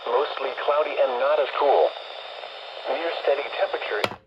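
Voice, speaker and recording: A man's formal, impersonal, measured voice reading a scripted weather bulletin over a small radio speaker. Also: medium pitch. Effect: weather radio broadcast through a small speaker. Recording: dull, noisy radio speaker reception.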